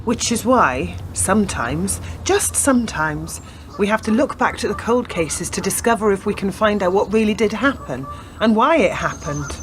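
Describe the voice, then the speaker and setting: A woman's voice: mid-range voice, lively intonation, normal speed, close to an everyday voice. A woman playing an earnest, stubborn young policewoman in a radio play, speaking persuasively. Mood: questioning, determined. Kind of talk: scripted radio play acting. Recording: community radio drama with clear background noise.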